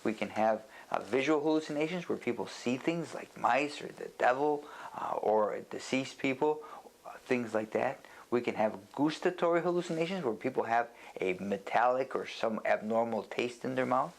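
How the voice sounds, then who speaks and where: A man speaking as a doctor in an unscripted educational lecture, explaining things in plain words with a halting, deliberate delivery. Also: medium pitch, expressive, creaky, poor audio.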